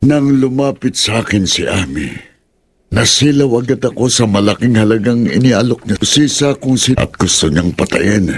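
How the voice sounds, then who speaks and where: Audio drama role: older male village sorcerer, rough low voice, solemn, guilt-ridden. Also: animated, normal speed. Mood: remorseful, solemn. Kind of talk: horror audio drama acting.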